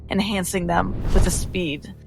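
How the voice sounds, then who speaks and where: A woman playing a tabletop fantasy game, narrating her character in a measured storytelling way. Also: on the low side, steady tone, vocal fry, low-quality recording. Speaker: player. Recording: loud music behind voice.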